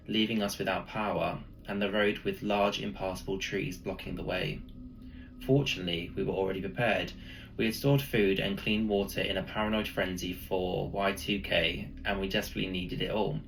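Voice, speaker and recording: A man with a gravelly voice reading a spooky first-person story as a storyteller. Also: fairly low pitch, fairly bright tone, slight background noise, poor audio. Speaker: storyteller, channel host.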